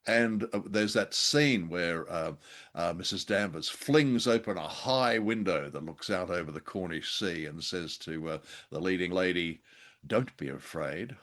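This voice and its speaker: An older man co-hosting a casual discussion of film and radio drama, with a droll, halting, courteous manner. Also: low-pitched, animated, a bit bright. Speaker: podcast co-host.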